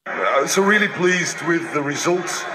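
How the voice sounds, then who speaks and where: A man's voice: fairly high pitch, animated, unhurried. A man speaking as a rugby coach in a post-match interview, in a noisy clip played on radio.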